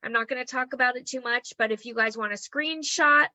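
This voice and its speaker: A woman's voice: a little high, a little fast, sharp tone, no room sound. A woman teaching an online group in a casual, encouraging way. She keeps checking in with "okay?" and her voice is worn from losing it. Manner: chatty and encouraging, frequent "okay?" check-ins. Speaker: human design teacher.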